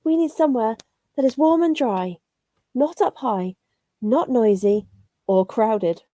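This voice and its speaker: Woman as homeless little worm, children's storybook, very high, sing-song, polite, pleading. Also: animated, unhurried, mellow, no echo, studio quality. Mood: politely pleading.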